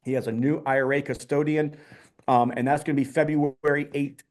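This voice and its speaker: A man's voice: fairly high pitch, fairly even intonation, brisk, a little mellow. A man hosting a casual online community call, upbeat, with many ums and restarts.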